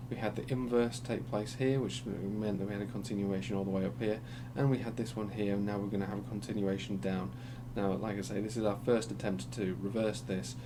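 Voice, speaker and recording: Lowish croaky guy rambling over crypto charts, lots of ums, hum in the background. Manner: rambling, hesitant, many ums and restarts. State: croaky voice from being unwell. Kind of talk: casual screen-share market commentary.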